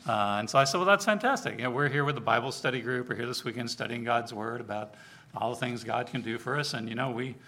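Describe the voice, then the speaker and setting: A man's voice: medium pitch, quick pace. A man preaching to a congregation in an informal, conversational way, telling personal stories and acting out the dialogue. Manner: conversational, animated storyteller, acts out dialogue. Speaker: preacher, overseer of church outreach. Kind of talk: sermon with informal storytelling to a congregation.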